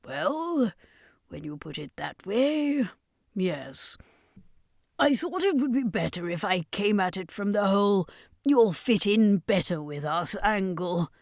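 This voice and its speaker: A woman's voice: medium pitch, very animated, slow, dry recording, studio quality, full character voice. A woman voicing a weary, sheepish circus master in a narrated fantasy story, apologetic and matter-of-fact.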